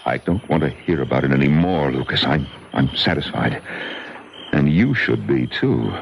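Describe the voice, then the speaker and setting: Deep, gravelly, curt schemer. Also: male voice, lively intonation, normal speed, heavily acted. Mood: cold, dismissive. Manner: curt, impatient. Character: callous, irritable well-off man hiding a crime. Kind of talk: radio drama acting. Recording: noisy old radio broadcast recording.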